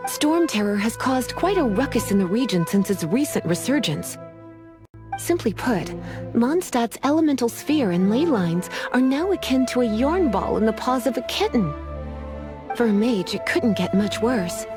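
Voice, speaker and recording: A woman playing a flirty, teasing sorceress librarian in a fantasy video game, coy and unhurried in her phrasing. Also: a little high, sing-song. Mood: wry. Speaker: librarian and mage. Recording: game music under the voice. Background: music.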